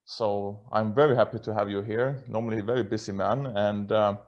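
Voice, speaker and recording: A man's voice: on the low side, fairly even intonation, fairly quick, a little mellow, dull audio. A Norwegian man speaking English as a non-native, hosting a casual video-call interview in a chatty, self-deprecating way with many restarts. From Norway. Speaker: host.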